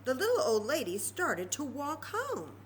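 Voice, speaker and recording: A woman storyteller reading aloud to young children in an expressive, chatty way, full of wonder and questions. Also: sits high, a little slow, slight background noise.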